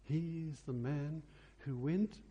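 A man preaching a revival sermon earnestly and deliberately, pressing his questions with repeated emphasis. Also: a little high, warm tone. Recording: husky, very reverberant church hall sound.

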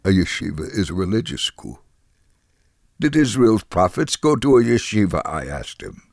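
A man reading a story in a dramatic, expressive way, acting out the quoted dialogue. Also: low-pitched, normal speed, vocal fry, no echo, studio quality. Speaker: reader of a dramatized true story.

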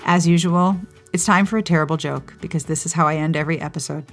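A woman with a somewhat low voice speaks as a podcast host over music. She moves through scripted show notes in a chatty, playful style, adding enthusiastic little asides. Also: steady tone, fast.

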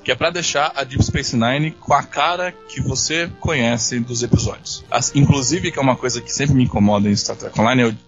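A man's voice: mid-range voice, a little fast, hardly any pauses. A man with a Brazilian accent explaining things informally in casual podcast chat. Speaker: podcast co-host. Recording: dull lo-fi audio, music underneath. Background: background music.

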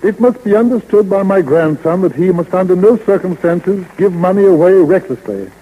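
Formal 1930s radio lawyer, high-voiced. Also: male voice, lively intonation, slightly rough, phone-quality sound. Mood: solemn, stern. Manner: deliberate, formal, precise. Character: fussy, formal male lawyer reading out a will. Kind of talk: 1930s radio comedy acting. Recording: 1930s radio recording, long reverb.

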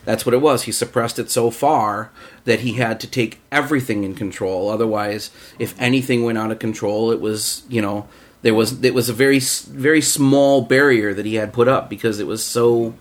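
A man's voice: mid-range voice, steady tone, moderate pace. A man in a casual group discussion, talking in a rambling way and often circling back over his words. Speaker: voice actor on a round-table.

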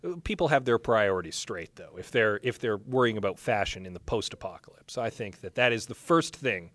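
A man's voice: fairly low pitch, brisk, no echo. Wisecracking male host, dry close sound. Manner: joking, deadpan sarcasm. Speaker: show host, moderator. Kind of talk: live interview, moderating audience questions.

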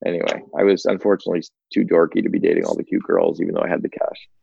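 A man in his fifties hosting a podcast interview, upbeat and talkative, quick to agree with his guest. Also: deep voice, fairly even intonation, vocal fry, slightly rough, no echo, lo-fi sound. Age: 50s. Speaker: podcast host. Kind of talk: casual interview chat.

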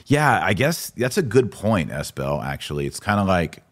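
A man's voice: sits low, big pitch swings, moderate pace, no echo. An American man hosting a live podcast, chatting casually and informally as he responds to listener comments. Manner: informal slangy talk. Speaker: podcast host.

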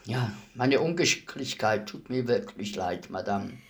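Man playing a gruff, creaky older burglar. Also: fairly low pitch, animated, unhurried, a bit bright, pitched below the performer's own voice. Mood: grumbling. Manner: formally polite between curses. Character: clumsy older male burglar, gruff, cursing, apologetic. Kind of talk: radio play dialogue.

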